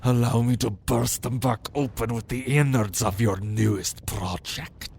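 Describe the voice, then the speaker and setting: Man voicing a gravelly, proud, scornful demon imp. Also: mid-range voice, steady tone, a little mellow. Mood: contemptuous, menacing. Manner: scornful, theatrical, drawn-out. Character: proud, contemptuous rival male imp of pride, defiant. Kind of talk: ASMR fantasy roleplay acting. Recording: noisy background ambience.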